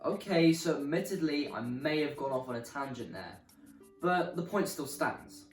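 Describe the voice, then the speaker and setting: A man presents a game review conversationally, with mock exasperation. His pitch moves around in a lively way. The sound is roomy, with music behind him. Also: moderate pace, far from the mic. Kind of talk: scripted presenting to viewers.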